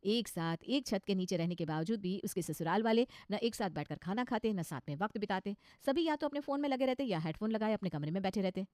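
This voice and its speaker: A woman's voice: medium pitch, expressive, quick pace, hardly any pauses, a little mellow, dry recording, studio quality. A woman narrating a scripted cartoon story as its storyteller.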